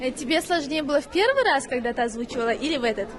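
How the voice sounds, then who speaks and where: High-voiced woman interviewer in a crowd. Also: expressive, racing. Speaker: interviewer for the cartoon's team. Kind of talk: casual interview questions. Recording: crowd noise around. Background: crowd chatter.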